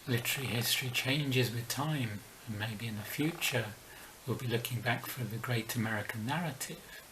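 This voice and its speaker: A middle-aged man with a weak London accent, talking through a book review in a measured, halting way. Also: mid-range voice, pushed and bright, slight background noise, poor audio. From England. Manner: measured, halting with pauses and fillers. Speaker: book vlogger. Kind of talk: casual book review talk.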